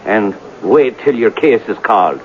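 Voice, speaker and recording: A man's voice: lowish voice, expressive, a little slow. An actor in a 1970s radio drama playing a laconic, world-weary, dismissive policeman. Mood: dismissive, resigned. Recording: thin phone-like 1970s radio, clear hiss.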